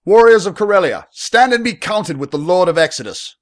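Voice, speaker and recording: Man playing a commanding young lord, pitched up. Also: fairly quick, dry recording, studio quality. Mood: bellowing, commanding. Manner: formal, imperious, bellowing or ominously calm. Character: young lord, noble, commanding, coldly menacing, righteous. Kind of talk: fantasy audiobook character acting.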